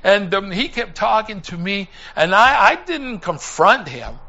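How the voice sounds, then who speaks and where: Older male preacher, earnest storyteller with a halting delivery and a bright, forceful tone, captured in slightly dull lo-fi sound. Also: sits high, very animated. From Puerto Rico. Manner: earnest, halting, with pauses and repeated phrases. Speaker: preacher and former missionary. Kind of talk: personal testimony in a sermon.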